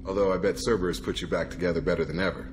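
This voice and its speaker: A man acting as a loyal soldier crewman in a space game. His voice is deep and male, his phrasing clipped and no-nonsense, and music sits prominently behind him. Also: fairly even intonation, very fast, natural voice. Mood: easygoing, frank. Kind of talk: video game dialogue acting. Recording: prominent background music under the voice.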